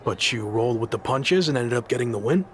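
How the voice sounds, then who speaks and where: Guy acting as a determined underdog wrestler in a game scene, normal pitch, noisy background. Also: expressive, fast talker, close to an everyday voice. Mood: dry, resolved. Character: determined male pro wrestler, the underdog challenger. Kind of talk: video game cutscene acting.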